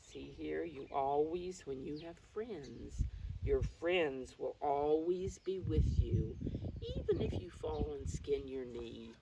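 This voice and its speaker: Mature woman, preschool storytime teacher, animated intonation, encouraging, explains things simply; distant mic with faint noise behind. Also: low-pitched, slow, vocal fry. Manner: encouraging, addresses young children directly. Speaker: preschool teacher reading a picture book. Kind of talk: children's read-aloud with simple explanations.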